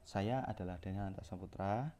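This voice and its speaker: A young man with a low, mellow voice reads through a course presentation. He keeps tripping over words and restarting them as he goes. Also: brisk. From Central Java, Indonesia. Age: young adult. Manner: reads from notes with frequent self-corrections and restarts. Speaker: communication student presenting.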